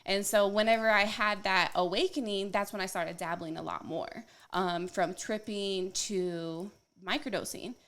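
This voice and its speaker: Soft spoken woman chatting into a podcast mic, open and rambly, lots of ums and likes. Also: medium pitch, steady tone, unhurried, hardly any pauses. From Colorado, United States. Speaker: guest wellness coach. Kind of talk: casual podcast interview. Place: podcast studio. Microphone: podcast studio mic.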